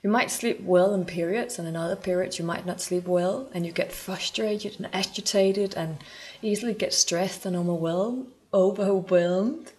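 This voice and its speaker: A woman's voice: mid-range voice, normal speed, a little mellow. A woman from Denmark with a Danish accent, speaking English as a second language and talking earnestly to camera as a spiritual coach, sometimes searching for words.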